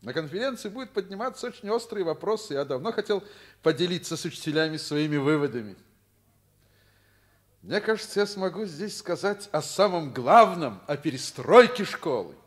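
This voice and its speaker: An actor in a Soviet radio play playing a middle-aged, principled school principal and worried father, speaking in a deliberate, reflective manner. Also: fairly high pitch, sing-song. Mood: animated, keen. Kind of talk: Soviet radio drama acting, narrating.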